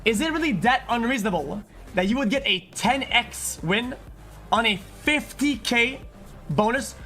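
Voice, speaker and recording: A man's voice: very high-pitched, lively intonation, moderate pace, bright, forceful tone, soft background music. A man on a stream in a heated, casual rant, arguing, repeating himself and swearing. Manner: argumentative, repetitive, swearing.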